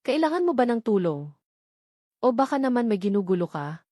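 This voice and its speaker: A woman's voice: on the high side, very animated, fairly quick, dry recording, clean studio sound. Woman reading as a firm, protective Filipino resort manager. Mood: pointed challenge. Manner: firm, pointed, quietly warning. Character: calm, protective, challenging male resort manager.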